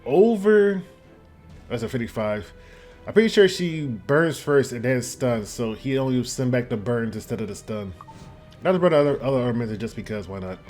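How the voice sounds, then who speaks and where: A man's voice: mid-range voice, expressive, normal speed, a little mellow, soft background music. A man giving casual, rambling commentary on a game, reacting aloud as it goes. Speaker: gaming video host.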